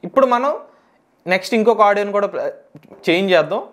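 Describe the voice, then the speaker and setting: Fast-talking, high-pitched Telugu man tutoring. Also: animated. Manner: instructional, mixes English tech words into speech. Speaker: tech tutorial host. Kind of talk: step-by-step software tutorial narration.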